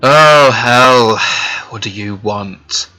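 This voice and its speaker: A man voicing a wary, exasperated male dragon traveller in an animated fantasy, speaking bluntly. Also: medium pitch, drawn-out words, dry recording, soft background music, low-quality recording. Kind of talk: animated fantasy drama acting.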